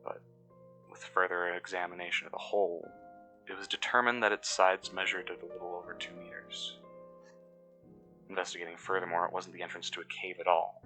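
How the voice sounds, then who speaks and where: A low-pitched, uneasy and cynical excavation worker , played by a man, narrates a mystery audio log. The delivery is measured and brooding, pausing mid-sentence. Music plays behind the voice. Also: little pitch movement, sharp tone. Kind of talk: scripted first-person mystery narration.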